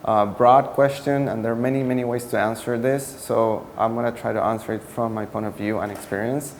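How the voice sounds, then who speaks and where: A man's voice: medium pitch, fairly even intonation, fairly quick. A man from Peru, a startup co-founder answering interview questions earnestly while searching for his words. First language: Spanish. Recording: echoey room with faint noise.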